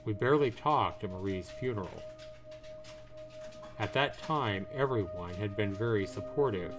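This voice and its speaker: A man's voice: on the low side, normal speed. A man, a game streamer, reading the narration of a visual novel aloud. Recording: music behind the voice. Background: guitar music.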